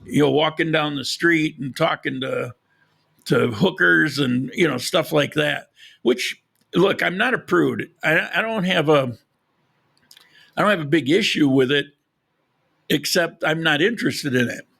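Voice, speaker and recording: A man's voice: a little high, a little slow, vocal fry, sharp tone, husky. A man hosting a live podcast, rambling casually with frequent restarts and losing his voice. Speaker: podcast host. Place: lives in Colombia.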